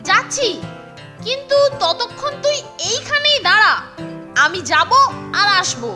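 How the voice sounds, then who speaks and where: A very high cheeky schoolboy. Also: female voice, animated, unhurried, over music. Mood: eager. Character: cheeky young schoolboy sidekick.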